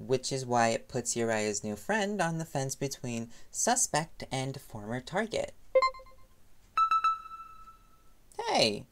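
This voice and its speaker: A man acts out a young reporter boyfriend in an audio roleplay, affectionate and easily flustered. His pitch jumps around freely while his pace stays unhurried. Loud noise sits behind the voice. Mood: earnest, thoughtful. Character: young playful, self-deprecating reporter boyfriend. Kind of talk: roleplay audio drama, intimate one-sided dialogue.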